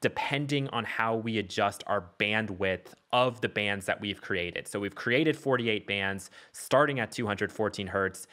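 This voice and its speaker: Male tutor, lowish pitch, very bright crisp-edged tone, casual explanatory delivery for a production walkthrough. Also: measured pace, few pauses. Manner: explanatory, checks in with "okay" and "all right". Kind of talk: casual software tutorial narration.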